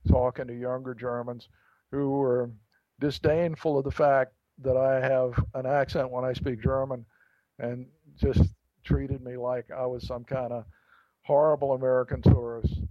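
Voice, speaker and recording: A man, an author, being interviewed, telling an anecdote in a reflective way with pauses and restarts. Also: mid-range voice, little pitch movement, no echo, muffled recording. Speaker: author guest. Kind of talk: interview storytelling.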